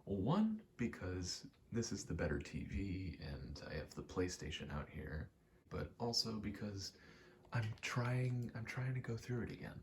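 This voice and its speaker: A man giving a rambling ASMR gaming commentary, halting and meandering as he thinks aloud while playing. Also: sits low, animated, a little hiss or hum. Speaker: gaming video creator.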